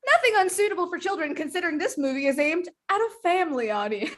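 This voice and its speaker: A woman's voice: very high voice, animated, fast talker, fairly bright tone, slight background noise. A woman guest-hosting a trivia game show over a video call, chatty, giggly and self-deprecating.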